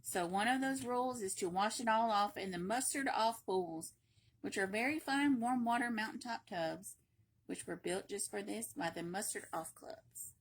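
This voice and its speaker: A woman reading a children's picture book aloud in an animated, playful, emphatic way, with brief asides. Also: mid-range voice, moderate pace, poor audio. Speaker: library read-aloud reader.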